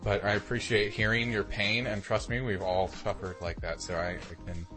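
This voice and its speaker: A man's voice: on the low side, fairly even intonation, normal speed, sharp tone, faint music behind. A man co-hosting a podcast in a casual, rambling, informal way. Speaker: podcast co-host.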